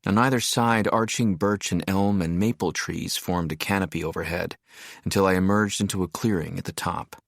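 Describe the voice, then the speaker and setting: A man narrating an audiobook in the first person as a reflective architect, in a measured, descriptive storytelling manner. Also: sits low, fairly even intonation, vocal fry, dry recording, clean studio sound. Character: reflective male architect narrating his arrival in a seaside town.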